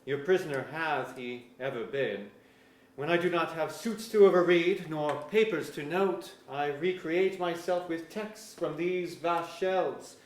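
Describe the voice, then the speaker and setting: A man's voice: on the high side, measured pace, lightly acted. A man playing a bookish, resentful captive scholar in an archaic verse drama, formal and wry. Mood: wry resentment. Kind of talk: staged reading of pseudo-Elizabethan verse drama. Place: museum auditorium, live reading. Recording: echoey auditorium.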